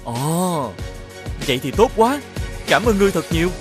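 A man playing a gentle, polite young hero in a cartoon fairy tale, earnest, courteous and pleading. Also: high voice, big pitch swings, normal speed, music in the background. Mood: grateful.

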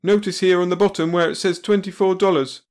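A man's voice: high voice, fairly even intonation, brisk, a little mellow, pitched above the performer's own voice. A man voicing an earnest, brash space traveler in an audiobook, speaking in an eager, declamatory way. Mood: brisk confident.

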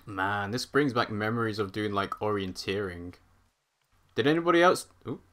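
A man commentating live on a game he plays, chatty and thinking out loud. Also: fairly low pitch, normal speed. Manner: chatty, thinks out loud, hums while walking. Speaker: streamer. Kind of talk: live let's-play commentary.

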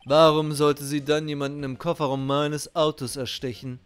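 A stern young male prosecutor from a video game, read by a man. He speaks formally and seriously, brooding in clipped, measured phrases over faint music. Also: a little high, level tone, clear, resonant tone, lightly acted. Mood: grave.

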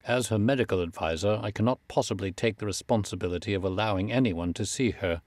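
A solemn young gentleman doctor, acted by a male narrator, fairly low in pitch. He speaks with stern, formal authority in a dry, close storybook recording. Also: fast talker, no echo, lightly acted. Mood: grave, firm.

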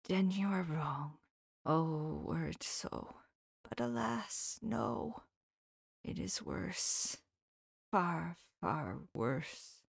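A woman voicing an elderly male professor in an audiobook, speaking in a slow, solemn, often whispered voice with formal, old-fashioned phrasing. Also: low-pitched, expressive, gravelly, breathy edge, no echo, studio quality, exaggerated voice. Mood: sorrowful. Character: elderly male professor, grave, tender and sorrowful. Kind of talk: audiobook character voice.

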